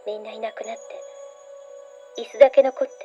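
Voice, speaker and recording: An actress playing a troubled young woman in a radio drama, hushed and dreamy as she recounts visions under hypnosis. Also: fairly high pitch, fairly even intonation, moderate pace, faint music behind, lightly acted. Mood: hushed, haunted. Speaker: voice actor. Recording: dull audio drama recording.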